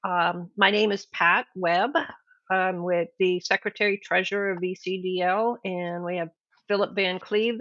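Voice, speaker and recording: A woman's voice: on the low side, a bit bright, dry recording. A woman hosting an online meeting, introducing people in a chatty, informal way with fillers, over a video call. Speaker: meeting host, club officer. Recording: dry, lo-fi call audio.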